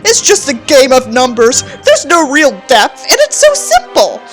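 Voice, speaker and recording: Woman acting out a pompous, bitter teenage boy, high-voiced. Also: huge pitch swings, unhurried, faint music behind, full character voice. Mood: contemptuous. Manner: pompous, theatrical, contemptuous. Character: bitter, self-important nihilistic teenage boy writing a journal. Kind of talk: dramatic reading of a journal.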